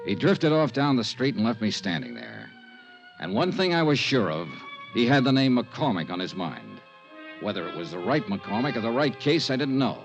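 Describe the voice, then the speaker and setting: An actor playing a shrewd, smooth-talking insurance investigator in a 1950s radio drama, with clipped hard-boiled narration and probing questions. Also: male voice, medium pitch, sing-song, normal speed. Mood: wry, reflective. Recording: old muffled radio recording, faint music.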